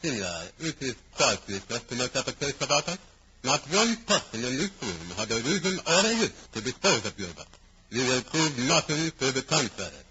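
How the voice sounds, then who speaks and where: An actor playing a proud, defiant foreign gentleman under suspicion in an old-time radio crime drama, formal and indignant. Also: mid-range voice, big pitch swings, fairly quick, highs cut off. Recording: noisy, crackly vintage radio recording.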